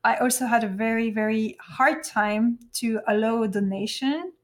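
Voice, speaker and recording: A woman's voice: a little high, moderate pace. French-accented Belgian woman, chatty. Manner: chatty, laughs often, searches for words. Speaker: podcast guest, streamer. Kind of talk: casual interview chat.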